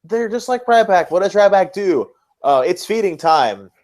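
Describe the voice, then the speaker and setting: A man's voice: sits high, big pitch swings, normal speed, a little mellow. A man co-hosting a casual wrestling talk podcast, blunt and critical, often repeating phrases to hammer a point home. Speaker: podcast co-host. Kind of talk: casual wrestling commentary chat.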